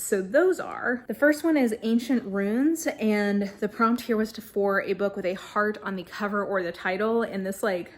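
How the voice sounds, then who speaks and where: A woman chatting informally to camera as a book vlogger, hedging often with "like" and "kind of". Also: medium pitch. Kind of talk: casual chatty monologue to camera.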